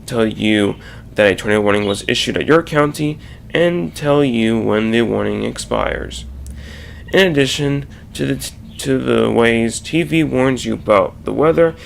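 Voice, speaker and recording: A man narrating a slide presentation in a halting, explanatory way. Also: mid-range voice, creaky, rough recording. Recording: faint background noise.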